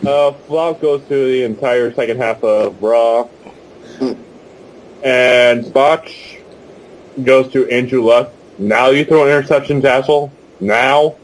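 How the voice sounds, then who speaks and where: A man's voice: medium pitch, fairly even intonation, slow, poor audio. A man bantering casually and crudely as a podcast panelist.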